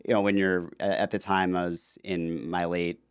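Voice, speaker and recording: A man's voice: deep voice, normal speed, smooth, no room sound, clean studio sound. A man in his thirties, a guest on an interview podcast, telling stories casually with frequent fillers. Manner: relaxed storytelling with frequent fillers.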